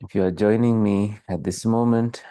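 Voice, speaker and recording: A man leading a guided meditation as a teacher, calm and measured, leaving long pauses between short phrases. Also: lowish voice, no echo.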